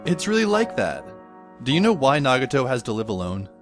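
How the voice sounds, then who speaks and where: A man's voice: mid-range voice, huge pitch swings, fairly quick. A man playing a sardonic, exasperated teenage schoolboy who narrates and acts his own story in an amateur audio drama, wordy and dry. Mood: evasive. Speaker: narrator and lead character. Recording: faint music behind the voice. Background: faint music.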